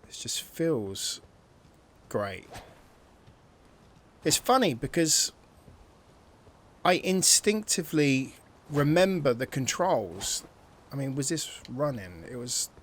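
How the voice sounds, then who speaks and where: A man giving casual, excitable commentary while playing a video game and thinking aloud. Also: medium pitch, takes it slowly, long pauses, slight background noise. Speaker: gameplay streamer. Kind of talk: casual let's-play commentary.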